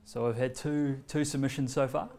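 A man, giving a casual workshop demonstration in a meeting room with many fillers and pauses. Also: medium pitch, steady tone, creaky, darkish tone. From New Zealand. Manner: standing, walking between screen and laptop. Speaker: presenter demonstrating a learning tool. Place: bright medium-sized meeting room with a screen, indoors. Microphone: far camera.

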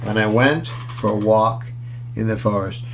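A man in his sixties reading a picture book aloud to children, playful and expressive, with casual asides as he goes. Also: lowish voice, a little slow, slight background noise, low-quality recording. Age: 60s. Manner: expressive, playful, inviting listeners along. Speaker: children's storyteller. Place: small room at home, indoors.

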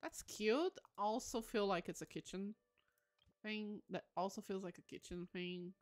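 A woman's voice: mid-range voice, a little slow. A woman livestream host giving casual game commentary, musing aloud with enthusiastic exclamations.

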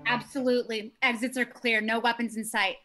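Woman as rookie cop, slightly high voice, earnest, brief plain replies, comedy table read. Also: moderate pace, lightly acted. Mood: determined. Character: earnest rookie female cop, questioning and eager.

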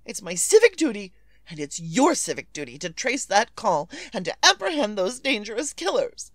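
A woman's voice: mid-range voice, big pitch swings, normal speed, a bit bright, no echo. An actress playing an imperious, anxious married woman in a 1940s-style radio thriller, dramatic, demanding and flustered. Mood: indignant, impatient. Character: imperious, anxious, fretful married woman making frantic phone calls. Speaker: lead actress.

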